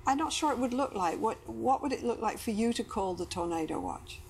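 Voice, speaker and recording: A woman's voice: fairly high pitch, lively intonation, fairly quick, vocal fry, darkish tone, husky. A middle-aged woman, guiding a couples therapy conversation with questions and example phrases. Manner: coaching, asks questions and acts out example lines. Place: television-style studio set with sofas.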